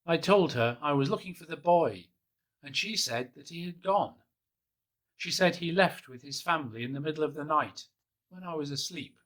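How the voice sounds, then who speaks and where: Soft-spoken man reading a children's story. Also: fairly high pitch, normal speed. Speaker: storybook reader. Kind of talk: gentle first-person children's story reading.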